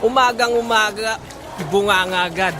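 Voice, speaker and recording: A man's voice: sits high, normal speed, crowd noise, close to an everyday voice. A man playing an irresponsible, defensive jobless husband and father in a Filipino audio drama, grumbling and dismissive. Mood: grumbling, irritated.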